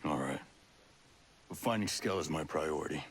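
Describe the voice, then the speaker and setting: An actor playing a stoic, determined special forces soldier in a video game, speaking in a terse, understated way. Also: male voice, rumbling low, moderate pace, slight background noise. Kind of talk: video game cutscene acting. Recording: music playing behind.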